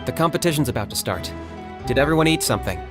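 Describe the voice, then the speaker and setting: A laconic young man from an anime game played by an actor, calm and blunt. He talks briskly and matter-of-factly under loud music. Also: mid-range voice, lightly acted. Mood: composed. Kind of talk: anime-style video game voice acting.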